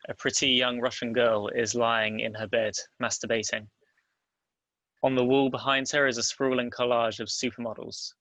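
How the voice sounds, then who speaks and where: A man reads out script directions as narrator. His voice is mid-pitched, bright and forward, recorded dry with almost no room sound. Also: dry recording, poor audio. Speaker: narrator reading stage directions. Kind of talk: scripted narration at table read.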